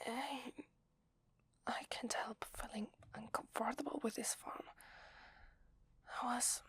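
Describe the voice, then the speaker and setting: A woman's voice: on the high side, fairly even intonation, a bit bright, no room sound, exaggerated voice. A woman with an Italian accent voicing a sweet, apologetic werewolf wife in a hesitant, tender, whispered ASMR roleplay. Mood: nervous, uneasy. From Italy. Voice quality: breathy, whispery. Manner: hesitant, tender, slow with long pauses.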